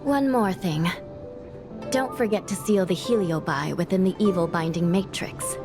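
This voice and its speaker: A woman's voice: fairly low pitch, moderate pace, lightly acted. A woman playing a composed, dutiful and reserved judge in an anime-style video game. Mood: calm, official. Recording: background music under the voice.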